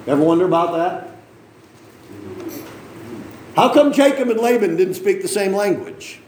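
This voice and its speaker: A male preacher with a notably high voice teaches from scripture, talking to the congregation in an easy, conversational way. He keeps checking in with them through questions and throws in small jokes. The sound rings around a roomy church hall, with a faint hum of ambience behind it. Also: sing-song, a little slow, a little mellow. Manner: conversational, asks the congregation questions, joking asides. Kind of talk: sermon, Bible reading and teaching.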